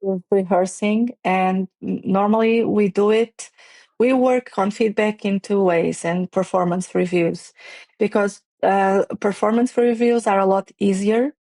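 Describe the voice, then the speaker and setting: A woman's voice: medium pitch, steady tone, unhurried, mellow, no room sound. Woman, dry close mic. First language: Portuguese. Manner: hesitant, many restarts and fillers, non-native phrasing. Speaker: guest, playwright and facilitator. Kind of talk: conversational interview.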